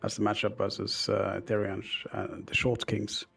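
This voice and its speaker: A man's voice: fairly low pitch, monotone, brisk, vocal fry, fairly bright tone, breathy edge, soft background music. A young man with a Norwegian accent co-casting an esports match, hesitant, with dry humor. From Norway. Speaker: esports co-caster. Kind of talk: casual esports commentary.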